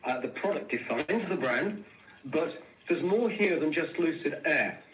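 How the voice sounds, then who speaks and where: A man's voice: on the high side, expressive, unhurried, a bit bright, slight background noise. Echoey, distant man on an earnings call. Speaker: company executive. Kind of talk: answering questions on an earnings call. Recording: muffled, echoey room, distant microphone.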